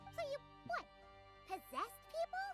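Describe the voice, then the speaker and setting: A woman voicing a tiny, curious female fairy companion in a video game, with a squeaky, childlike, inquisitive delivery. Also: huge pitch swings, measured pace, music in the background, full character voice. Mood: puzzled, questioning. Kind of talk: scripted game dialogue.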